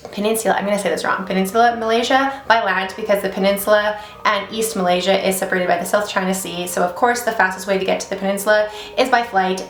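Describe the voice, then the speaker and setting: A young woman hosts her channel from a small home office, reading a story aloud in a quick, chatty way. She breaks off often for blunt, swearing reactions. Her voice carries a noticeable room echo. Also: mid-range voice, slight background noise. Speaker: beauty channel host reading stories. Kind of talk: casual vlog storytelling with asides.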